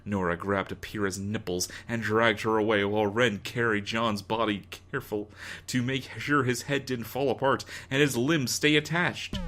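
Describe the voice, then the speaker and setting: A man's voice: on the low side, fairly even intonation, moderate pace, a bit bright. A man reading a story aloud as a dramatic narrator, in a mock-serious, theatrical manner. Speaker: narrator of a fan fiction reading.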